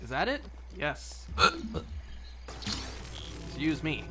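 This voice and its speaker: A man's voice: on the high side, sing-song, slow, heavy background noise. A young man chatting casually and rambling through gameplay commentary, with wry little asides. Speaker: streamer.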